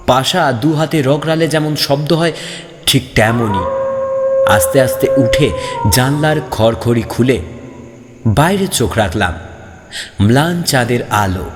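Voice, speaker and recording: A man reading a scripted ghost story as a narrator, in a dramatic, suspenseful and theatrical manner. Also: mid-range voice. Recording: long reverb, background music. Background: music.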